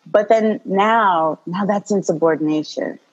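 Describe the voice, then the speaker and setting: Calm, direct woman with a husky voice. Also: mid-range voice, a little slow, highs cut off. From Congo. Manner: calm and direct, laughs often. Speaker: guest, doula. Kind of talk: casual podcast interview.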